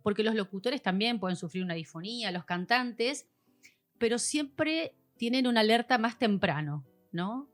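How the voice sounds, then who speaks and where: A woman's voice: fairly high pitch, expressive, normal speed, soft background music. A woman, a voice specialist guest explaining patiently in a podcast chat, like a teacher. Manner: patient, teacherly, acts out examples.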